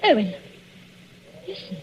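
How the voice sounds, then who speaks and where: An actress playing an uneasy young English wife in a 1940s radio drama, clipped and anxious but proudly resistant. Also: female voice, takes it slowly, over music, close to an everyday voice. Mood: nervous. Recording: noisy muffled 1940s radio recording.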